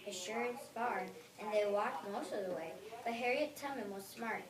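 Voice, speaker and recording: A woman's voice: mid-range voice, normal speed, a crowd in the background, natural voice. A girl of about eight or nine reading the part of a child who tells a family story, in an earnest school readers theater style. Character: grandparent-taught child telling great-grandpa's escape story. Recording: echoey noisy room from far off.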